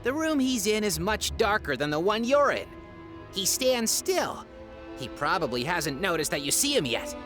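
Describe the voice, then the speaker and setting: A woman's voice: medium pitch, big pitch swings, normal speed, over music, close to an everyday voice. A woman playing a mischievous game master. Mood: playful. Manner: playful, teasing storyteller. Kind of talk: narrating a tabletop game in a comedy audio drama.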